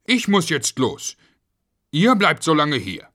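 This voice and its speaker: A man's voice: fairly high pitch, wildly swooping pitch, slow pace, bright, forceful tone, pitched above the performer's own voice, full character voice. A man voicing a harried, strict working father who is easily exasperated in a children's story.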